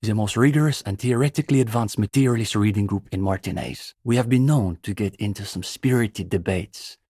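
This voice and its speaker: An actor playing a pompous, earnest young communist student in a video game, a bookish zealot who talks in precise, lecturing phrases. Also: male voice, on the low side, a little fast, warm tone, slightly rough, no room sound, studio quality. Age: young adult. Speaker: student leader of a revolutionary reading group. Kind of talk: video game character dialogue.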